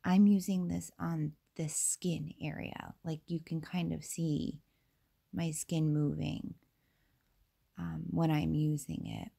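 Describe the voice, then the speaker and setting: Raspy woman, slow relaxed tutorial voice. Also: low voice. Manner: relaxed, many fillers and asides. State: a little unwell, raspy. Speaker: nail tutorial maker. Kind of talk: casual voice-over tutorial.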